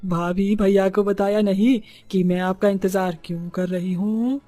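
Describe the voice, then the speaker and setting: A woman voicing a vengeful, taunting witch ghost in an animated horror story. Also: medium pitch, a little slow, faint music behind, close to an everyday voice. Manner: taunting, menacing. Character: vengeful female witch, ghost of a wronged young seamstress.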